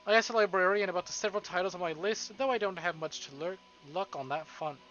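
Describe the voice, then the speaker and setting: A man reading a visual novel aloud on a live stream, with casual, excitable, foul-mouthed reactions. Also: high-pitched, fast talker, highs cut off, slight background noise.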